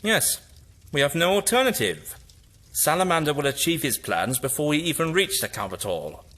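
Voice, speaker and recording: Man acting animated, eccentric sci-fi hero. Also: a little high, moderate pace. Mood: resolute. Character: eccentric, resolute male time-travelling hero, or his villainous double. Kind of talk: audio drama acting.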